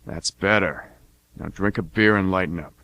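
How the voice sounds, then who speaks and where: A deep-voiced, swaggering drunken veteran and mercenary, acted by a man for a radio play. He taunts and threatens in folksy slang, bragging like a hard man. The sound is close and dry. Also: normal speed, pushed and bright, no echo. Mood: boastful, gruff. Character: menacing, drunken brother, boastful war veteran turned mercenary. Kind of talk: amateur radio drama acting.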